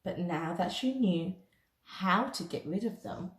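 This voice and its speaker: Expressive, slow-paced woman reading a storybook. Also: on the low side, a little hiss or hum. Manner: dramatic, deliberate delivery with pauses for young listeners. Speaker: storybook reader. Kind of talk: expressive children's picture book read-aloud. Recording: echoey room.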